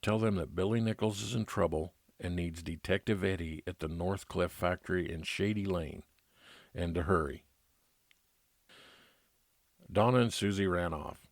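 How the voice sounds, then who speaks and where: A man's voice: sits low, fairly even intonation, unhurried, pauses often, dry recording. A man playing a private detective who narrates his own case matter-of-factly in an old-time radio drama style. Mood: urgent.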